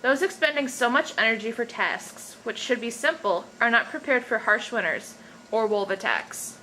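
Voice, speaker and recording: A woman's voice: fairly high pitch, lively intonation, normal speed, sharp tone. A woman giving a scripted, documentary-style narration. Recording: noisy amateur recording with background ambience.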